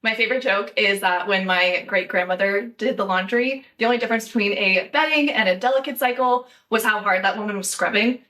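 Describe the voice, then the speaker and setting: A young woman from the United States hosting a podcast, talking in a measured, thoughtful way with light laughs. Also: mid-range voice, sharp tone, far from the mic. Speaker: podcast host. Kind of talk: casual podcast chat.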